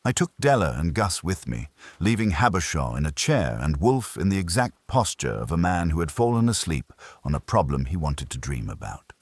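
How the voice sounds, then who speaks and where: A man with a very low, husky voice narrates a detective story. His delivery is measured and dramatic, with an expressive rise and fall, and the sound is dry and close. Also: no echo. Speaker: audiobook narrator. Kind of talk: scripted first-person detective story narration.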